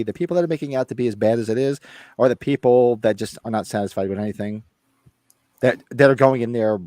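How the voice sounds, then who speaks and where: A man's voice: medium pitch, darkish tone, dry recording. An American man hosting a casual chat, rambling and blunt with some swearing, his voice recovering after being lost. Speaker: podcast host. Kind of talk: casual chat about horror movies.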